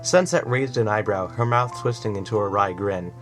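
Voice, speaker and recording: A man narrating a story in an expressive, animated reading. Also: on the low side, normal speed. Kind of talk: audiobook reading of fan fiction. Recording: soft music behind.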